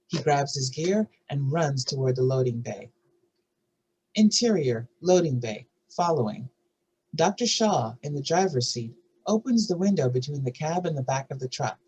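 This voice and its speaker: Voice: mid-range voice, a little mellow, a little hiss or hum, poor audio. A narrator reading scene headings and action lines from a screenplay at a table read. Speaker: narrator reading stage directions.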